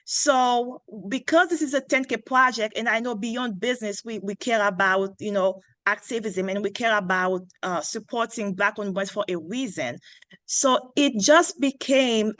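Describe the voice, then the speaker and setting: A woman's voice: a little high, fairly bright tone, dry recording. A woman in her thirties from Haiti, a founder telling her story earnestly on a video call, a little under the weather. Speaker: founder pitching her coffee brand. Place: small indoor room.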